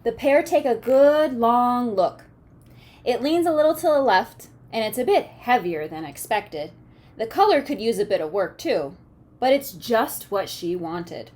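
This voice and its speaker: A woman teacher reading a picture book aloud to children, expressively, pausing to ask questions and check understanding. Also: a little high, animated, darkish tone.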